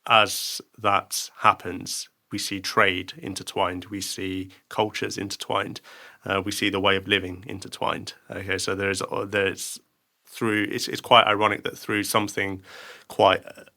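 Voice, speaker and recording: A man hosts a conversational interview in a very low voice. His tone is bright and forward, and his pitch stays fairly even as he talks. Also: measured pace, gravelly. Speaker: interview host.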